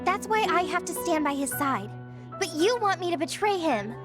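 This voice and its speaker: An actress playing an earnest, emotional and loyal young woman in a video game, with an expressive, pleading manner. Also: very high voice, normal speed, over music. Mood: indignant.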